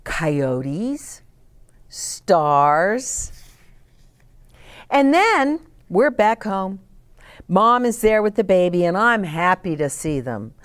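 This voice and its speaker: A woman's voice: mid-range voice, sing-song, extremely slow, pauses often, smooth. A woman hosting story time for young children, reading aloud with dramatic emphasis and explaining things simply with questions to the listener. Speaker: children's storybook reader and host.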